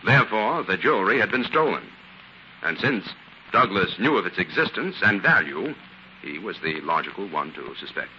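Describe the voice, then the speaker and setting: Casting: assured 1940s radio detective, low and forceful, faintly noisy vintage sound. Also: male voice, sing-song, moderate pace, heavily acted. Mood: assured, explaining. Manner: authoritative, explanatory. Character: assured male scientist detective explaining a solved case. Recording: dull, hissy 1940s radio recording.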